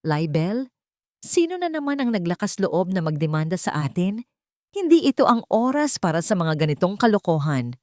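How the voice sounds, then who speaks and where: A haughty older mother from a drama story, acted out by a woman reader. She is snappish and dismissive, with very animated pitch. The recording is dry. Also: normal speed, no room sound. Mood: indignant. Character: haughty, irritable older mother of a grown son.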